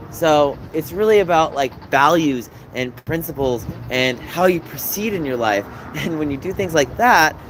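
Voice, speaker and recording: A man from the East Coast of the US, hosting a livestream and chatting to viewers in a rambling, animated way with lots of fillers. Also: a little high, poor audio. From New York, raised in New Jersey. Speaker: livestream host. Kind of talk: casual chat with viewers and callers. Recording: wind and background noise on the mic.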